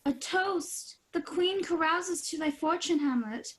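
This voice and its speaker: Proud, affectionate queen played by a woman, raised high voice, Shakespearean radio drama. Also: measured pace. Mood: proud, festive. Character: Queen Gertrude, proud, affectionate mother of a prince. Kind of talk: Shakespearean radio play acting.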